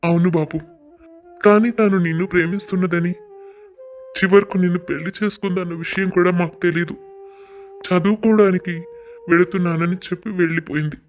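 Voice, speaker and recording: An older father played by a male actor speaks in an audio family drama. His voice is high for a man and stays very even. He is earnest and sorrowful, with music underneath. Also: a little fast, long pauses, dull audio. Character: sorrowful older father revealing a long-hidden truth. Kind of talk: scripted audio story dialogue.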